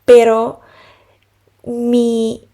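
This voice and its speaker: Woman podcast host, earnest personal monologue, soft warm tone, unhurried pacing. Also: a little high, monotone. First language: Spanish. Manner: earnest, unhurried, pauses between phrases. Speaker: podcast host, pilates and yoga teacher.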